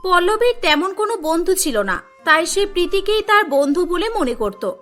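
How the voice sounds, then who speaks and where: A woman's voice: extremely high pitch, big pitch swings, moderate pace, sharp tone. A woman reading scripted storybook narration for a cartoon, with music behind her.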